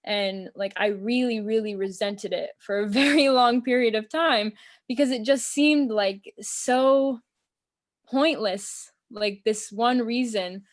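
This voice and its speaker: A young American woman on a video call, talking in a relaxed, earnest way and filling her speech with "um" and "like". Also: on the high side, slow. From United States. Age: young adult. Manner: earnest, thoughtful, many fillers like "um" and "like". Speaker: interview guest. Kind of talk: casual conversation. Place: small room at home, indoors.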